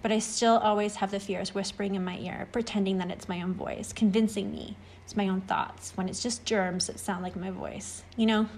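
Reassuring friend for short-story audio, played by a woman: encouraging, explanatory, mid-pitched. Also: fairly even intonation, normal speed, few pauses, darkish tone, slight background noise, lightly acted. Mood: earnest. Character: reassuring, talkative friend who overcame extreme anxiety. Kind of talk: acted short-story dialogue reading.

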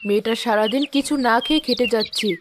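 A woman's voice: a little high, fast talker, background noise, close to an everyday voice. A woman voicing a protective, worried mother in a cartoon, protesting and pleading with concern. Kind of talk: cartoon dub acting.

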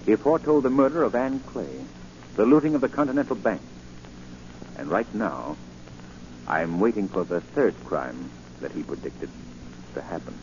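An actor playing a composed, guarded, wealthy gentleman sleuth in 1940s radio acting style. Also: on the low side, sing-song, normal speed, pauses often. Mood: controlled. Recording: noisy, muffled 1940 radio recording.

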